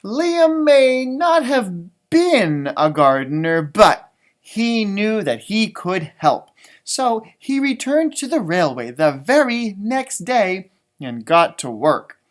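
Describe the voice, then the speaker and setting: A man's voice: sits high, slow. A man reading a storybook aloud to children as a teacher, expressively, with informal explanatory asides. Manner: expressive, explains words and pictures simply for children.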